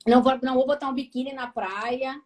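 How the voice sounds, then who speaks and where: A woman with a southern Brazilian gaúcho accent, a therapist hosting a live talk, lively and speaking straight to her viewers with frequent tag questions. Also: high voice, a little fast, over a noisy crowd. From Rio Grande do Sul, Brazil.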